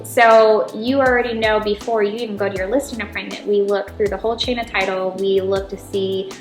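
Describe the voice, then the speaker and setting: A woman's voice: mid-range voice, steady tone, fairly quick. A middle-aged American woman giving casual, chatty explanations as an interview guest, often checking in with "right?". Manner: chatty, informal, peppers talk with "right?" and "you know". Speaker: interview guest, title insurance representative. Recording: roomy echo, music behind the voice.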